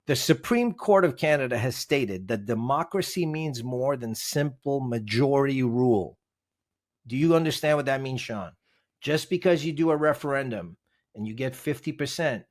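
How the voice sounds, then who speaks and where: A man's voice: mid-range voice, moderate pace. A man, a podcast guest, explaining legal text in conversation, emphatic and quizzing the host with rhetorical questions.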